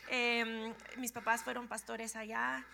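A middle-aged woman from Guatemala with a Guatemalan accent, giving a lively, joking talk to a church congregation. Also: a little high, takes it slowly, gravelly, a little hiss or hum. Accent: Guatemalan Spanish. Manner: lively and joking, laughs often. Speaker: guest speaker, pastor's wife.

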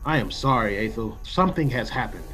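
A man playing a stern, practical colonial executive in a classic radio play, speaking with measured authority. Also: mid-range voice, expressive, a bit bright, close to an everyday voice, low-quality recording. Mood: calm, reassuring.

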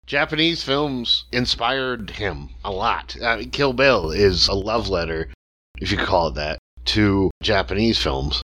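A man's voice: fairly low pitch, unhurried, pushed and bright. A man guesting on a podcast, bantering casually and making jokes. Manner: joking.

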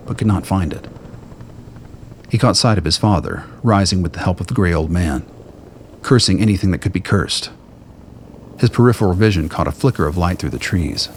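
A man delivering scripted, dramatic narration for an audio play. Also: deep voice. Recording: noise, ambient sound effects behind.

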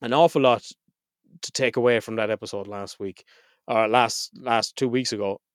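A man with a head cold talks straight into a close, dry mic, his voice stuffed up and a little nasal. His tone is clear and smooth under the congestion, pitched in the middle, and he speaks bluntly, swearing now and then as he chats to his listeners. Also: fairly even intonation, moderate pace, dry recording. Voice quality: slightly nasal. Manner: frank, swears freely. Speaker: podcast host. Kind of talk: casual solo podcast intro.

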